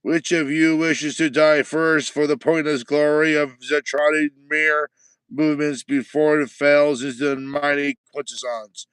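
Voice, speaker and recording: A man voicing a pompous, bloodthirsty male alien war judge who shouts grandiose threats. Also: on the high side, little pitch movement, a little slow, clean tone, dry recording, pitched above the performer's own voice. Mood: menacing boast.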